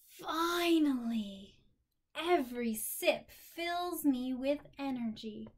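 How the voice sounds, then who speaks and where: Storybook girl princess played by a woman, high-ish voice, very drawn-out phrasing, dreamy, lively, expressive. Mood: expressive, weary, relieved. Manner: dramatic, lingering phrasing. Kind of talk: expressive picture-book read-aloud.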